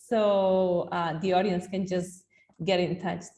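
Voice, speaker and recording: A woman's voice: lowish voice, flat delivery, takes it slowly, dark tone. A woman moderating a webinar over a video call, enthusiastic and hesitant with fillers.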